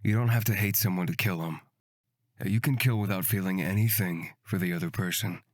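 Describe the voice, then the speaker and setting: A woman's voice: extremely low pitch, brisk, dry recording, clean studio sound, voice pushed down. A woman voicing a menacing, mocking male killer from a fantasy visual novel, coldly sardonic and taunting. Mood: weary, cold. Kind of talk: visual novel character acting.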